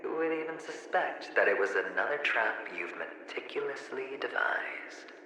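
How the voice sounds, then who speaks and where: A man's voice: medium pitch, lively intonation, normal speed, pushed and bright, heavy reverb, long reverb tail, slight background noise, rough recording. An actor playing a smug young male gambler in a video game cutscene, taunting in a mocking, teasing drawl.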